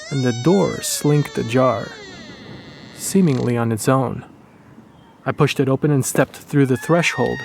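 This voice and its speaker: A man's voice: medium pitch, gravelly, a little mellow, a little hiss or hum. A man playing a bitter, brooding Polish resistance executioner narrating his wartime journal in a dramatic audiodrama. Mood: grim, tense.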